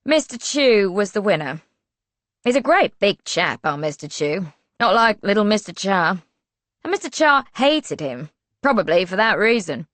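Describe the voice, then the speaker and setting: A woman's voice: mid-range voice, animated, moderate pace, fairly bright tone, no room sound, lightly acted. A woman playing a wry, gossipy office administrator. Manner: wry, gossipy, a little defensive.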